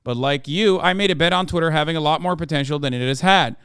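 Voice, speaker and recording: Man putting on a frank, higher-voiced Icelandic man. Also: quick pace, fairly bright tone, smooth, no room sound, studio quality, natural voice. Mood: wry. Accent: put-on Icelandic. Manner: reading a written thread aloud in character. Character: Icelandic male entrepreneur, frank and wry.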